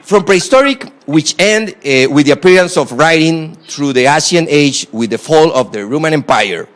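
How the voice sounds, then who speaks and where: Costa Rican man lecturing in halting English. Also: a little high, lively intonation, a bit bright. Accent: Costa Rican Spanish. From Costa Rica. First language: Spanish. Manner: halting non-native English with frequent fillers and restarts. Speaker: guest lecturer. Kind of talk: university guest lecture. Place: lecture hall.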